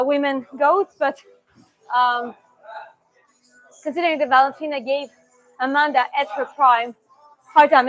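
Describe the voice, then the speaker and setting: High-voiced woman with a Georgian accent. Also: rough recording. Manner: chatty, loose, with sound-effect "bum"s and restarts. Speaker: martial arts streamer. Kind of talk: live-stream Q&A and technique demo.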